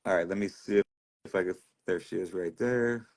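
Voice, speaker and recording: Relaxed chatty man hosting a livestream. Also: low-pitched, a little fast. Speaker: livestream host.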